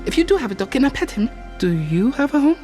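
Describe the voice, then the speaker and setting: A high, very animated little girl, full of curiosity. She speaks eagerly and a little hesitantly as a village child in a fantasy motion comic, with music behind her. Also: fast. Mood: curious, eager. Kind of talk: character acting in a motion comic.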